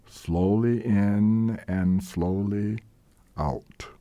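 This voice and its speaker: A man guides a meditation with a soft-edged, mellow tone and very slow pacing. His phrases are gentle and measured, separated by long pauses, and his pitch moves expressively up and down. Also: dry recording. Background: soft meditation music.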